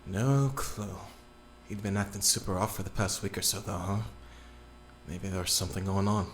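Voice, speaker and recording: Woman doing a deadpan teasing dude, super low voice, faint hum. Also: normal speed. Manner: flat, sardonic and teasing. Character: blunt, teasing young man.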